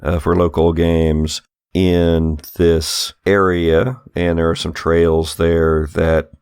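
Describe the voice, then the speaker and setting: A low, creaky male voice in a very dry recording. He runs a tabletop game, improvising descriptions in an explanatory, conversational manner. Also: little pitch movement, slow, a little mellow, no room sound. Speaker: game master.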